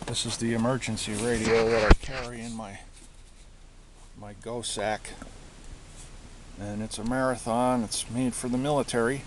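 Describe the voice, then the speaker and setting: A man giving a casual show-and-tell of his gear, speaking haltingly and often repeating himself as he searches for words. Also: mid-range voice, level tone. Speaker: hobbyist presenter. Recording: hissy amateur home recording.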